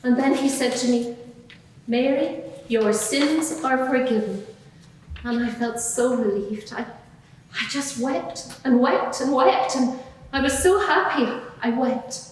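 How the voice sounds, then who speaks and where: In a reverberant hall, far from the microphone, a woman plays a frank female witness in her forties with a fairly high voice. She testifies plainly and earnestly, halting now and then, in a courtroom drama. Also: slight background noise. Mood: moved, earnest. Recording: echoey hall, distant microphone.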